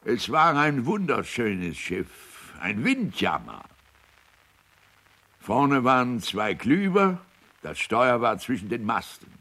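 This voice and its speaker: An actor playing a plain-spoken island fisherman giving simple, deliberate witness testimony in a radio play. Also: medium pitch, wildly swooping pitch, bright, forceful tone, full character voice. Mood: wistful recollection.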